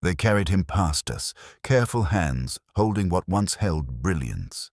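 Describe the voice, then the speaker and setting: A man playing a weary, melancholy police inspector, narrating a detective drama in solemn, measured, literary phrasing. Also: low voice, very animated, no room sound, studio quality, exaggerated voice. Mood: grave, reflective. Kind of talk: first-person scripted detective drama narration.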